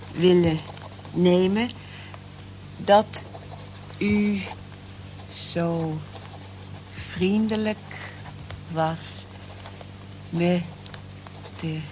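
A muffled old radio play recording with faint noise. a modest, earnest cleaning woman who talks slowly and politely in a mid-pitched voice. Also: a little mellow.

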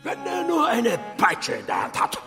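Cruel count and tutor; creaky, swooping, contemptuous voice over loud music, radio drama. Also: male voice, very high voice, wildly swooping pitch, moderate pace, heavily acted. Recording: reverberant hall with music behind.

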